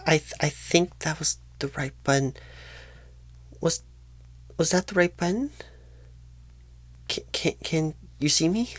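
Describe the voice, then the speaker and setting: ASMR roleplay role for a female performer: bashful, nervous new recruiter, slow and hesitant, slightly low pitch, dry close sound. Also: slightly rough, no echo. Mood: anxious, fumbling. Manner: halting, stammering, apologetic, hesitant. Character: painfully shy, nervous, bashful rookie job recruiter.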